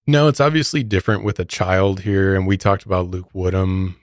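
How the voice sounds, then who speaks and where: A man's voice: low-pitched, steady tone, a little fast, dry recording, clean studio sound. An American man co-hosting a true crime podcast, giving his opinions in a casual, conversational way. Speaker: podcast co-host.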